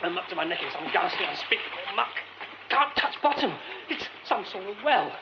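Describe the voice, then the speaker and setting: Young theatre man, self-muttering, wry and stammering, high bright pitch, dull radio sound with clear hiss. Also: very animated, fairly quick. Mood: panicked. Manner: muttering to himself, wry, later frantic stammering. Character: young male theatre man exploring a derelict theatre. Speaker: lead character. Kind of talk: radio drama acting.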